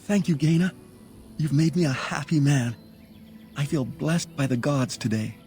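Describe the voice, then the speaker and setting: A man's voice: fairly high pitch, a little slow, darkish tone, faint music behind. A man playing a humble young elf hunter in a fantasy video game, earnest and polite. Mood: grateful.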